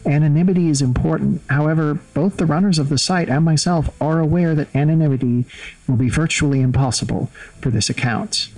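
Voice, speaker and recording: Set slightly back from the mic, a principled journalist played by a man delivers formal testimony for an audio drama. His voice is on the higher side, with measured and precise phrasing. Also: darkish tone, no room sound, far from the mic, lightly acted, low-quality recording. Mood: grave, resolute.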